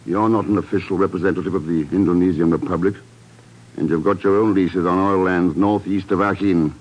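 An actor playing a cool, unflappable secret agent in a 1950s radio drama, speaking in a measured, dry manner. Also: male voice, low-pitched, steady tone. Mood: coolly probing. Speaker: lead actor. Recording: muffled, noisy old radio broadcast.

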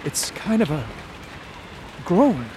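An alert male companion in a radio drama, with a high and lively voice. He is inquisitive and watchful, and faint noise sits beneath him. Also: slow pace, heavily acted. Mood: uneasy. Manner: inquisitive and observant. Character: curious young male companion, alert and analytical.

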